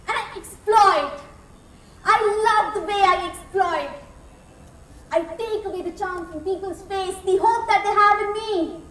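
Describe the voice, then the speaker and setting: A woman performs a theatrical soliloquy in a very high voice. Her delivery is emphatic, with deliberate repetition. The sound is echoey and picked up from a distance, with faint noise. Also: fairly bright tone. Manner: theatrical, emphatic, repeats phrases with pauses. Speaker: contest performer. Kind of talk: dramatic soliloquy on stage.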